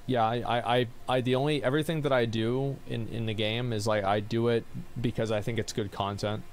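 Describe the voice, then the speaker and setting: Tired monotone man, rambling. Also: medium pitch, brisk, a little hiss or hum. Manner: rambling with many fillers and restarts. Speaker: streamer. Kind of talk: casual chat reading viewer questions.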